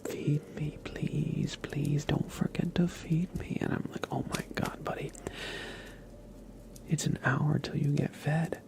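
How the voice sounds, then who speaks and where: Man, ASMR streamer, soft whisper, very gravelly fry, gentle casual chat, close mic. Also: a little high, little pitch movement, slow pace. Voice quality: breathy whisper with heavy fry. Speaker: ASMR livestream host. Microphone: close ASMR microphone.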